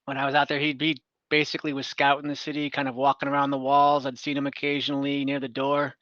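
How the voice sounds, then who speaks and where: A man's voice: mid-range voice, completely flat delivery, fast talker, a bit bright, no room sound, muffled recording, lightly acted. A man playing a captive female bandit who is blunt, pragmatic and offhand, with wry irritation, in a tabletop game. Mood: matter-of-fact. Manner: matter-of-fact, offhand.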